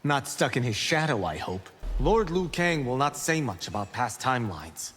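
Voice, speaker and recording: A man's voice: mid-range voice, very animated, normal speed, fairly bright tone, husky. An actor playing a proud young male Shaolin warrior in a video game, brash and boastful, with long reverb. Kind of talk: video game character dialogue. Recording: long reverb, faint noise.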